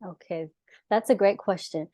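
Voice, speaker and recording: A young adult woman, born in the US and raised in Israel, chatting as a dietitian guest in a lively way, full of fillers and laughs. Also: medium pitch, moderate pace, no room sound. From born in the US, grew up in Israel. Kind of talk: casual interview talk.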